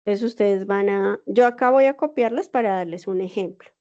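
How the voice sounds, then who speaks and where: Mellow-voiced Colombian woman teaching online. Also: medium pitch, moderate pace. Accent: Bogotá Spanish. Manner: patient, explanatory, checks with "¿sí?". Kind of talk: online class instruction. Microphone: video call. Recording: dull lo-fi video call audio.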